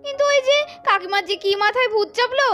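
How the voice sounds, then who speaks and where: Chirpy high-voiced woman narrator. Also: fast talker, a bit bright, soft background music. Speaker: narrator and voice of many dolls. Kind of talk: doll-cartoon narration and acting.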